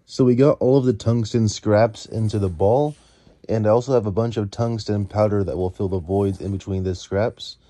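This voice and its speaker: A man's voice: on the low side, steady tone, normal speed, warm tone. A man in his thirties hosting a vlog in an informal, matter-of-fact way, recorded in a living room. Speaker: video host. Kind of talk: casual explainer vlog.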